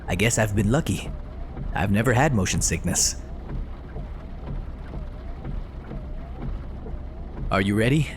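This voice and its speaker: An actor playing an eager, cocky young soldier in a video game. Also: male voice, on the low side, expressive, brisk, slight background noise, natural voice. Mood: upbeat, restless. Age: young adult.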